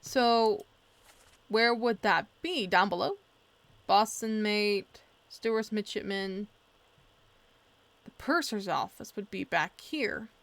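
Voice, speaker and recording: A woman's voice: medium pitch, slow, pauses often, a bit bright, no room sound. A young woman casually commenting as she plays a mystery game, thinking aloud and working through her guesses. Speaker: let's play streamer.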